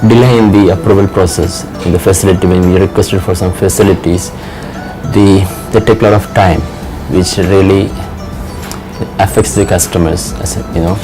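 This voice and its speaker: Low-voiced man in an office interview. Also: steady tone, music in the background. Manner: measured, uses "you know" often. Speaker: financial controller. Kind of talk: interview testimonial. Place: office indoors.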